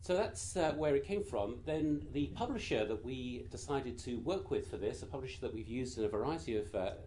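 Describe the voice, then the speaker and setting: A man with a British accent and a naturally quiet voice, giving an informal talk to a group in a gentle, measured way with frequent small hesitations. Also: mid-range voice, expressive, slight background noise. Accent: British English. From Britain. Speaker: guest speaker.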